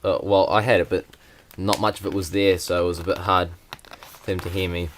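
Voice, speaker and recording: A man's voice: deep voice, little pitch movement, fairly quick, a little hiss or hum. A young man, talking hesitantly with many fillers in a casual vlog. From New Zealand. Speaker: vlogger.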